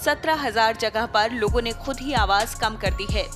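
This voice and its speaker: A woman news anchor reading a scripted report in a formal, measured bulletin delivery. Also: a little high. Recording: music playing behind the voice.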